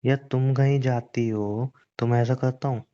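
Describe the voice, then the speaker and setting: A stubborn, exasperated young boyfriend played by a man speaks in a mellow, dark-toned voice at medium pitch. He argues and coaxes casually, as in a romantic call drama. The sound is a little dull and lo-fi, like a recorded phone call. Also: fairly even intonation, normal speed, clean tone, lightly acted. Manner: argumentative, coaxing, exasperated. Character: stubborn, teasing young boyfriend defending his boys' trip.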